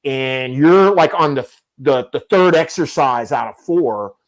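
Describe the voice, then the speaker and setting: A man in his fifties hosts a relaxed conversation in a mid-range voice. He rambles through long anecdotes and pokes fun at himself. His speech is loose and conversational, full of asides. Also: medium pitch, measured pace. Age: 50s. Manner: rambling, self-deprecating storyteller. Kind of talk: casual chat.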